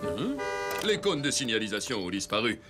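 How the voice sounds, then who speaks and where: An actor playing a pompous, earnest superhero toy in a children's cartoon, with grand declamatory delivery. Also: male voice, on the low side, big pitch swings, moderate pace. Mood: earnest, puzzled. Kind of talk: animated children's cartoon acting. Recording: music behind the voice.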